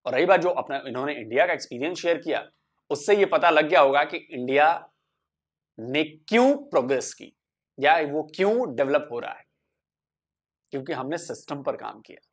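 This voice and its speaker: A man talking earnestly to the camera, measured and persuasive, building his points through repetition. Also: fairly high pitch, animated, husky.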